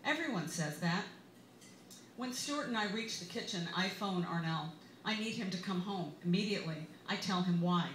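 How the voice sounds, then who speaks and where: An American woman, an author giving an expressive live reading of her memoir in a wry manner. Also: medium pitch. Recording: roomy, echoey bar with background noise.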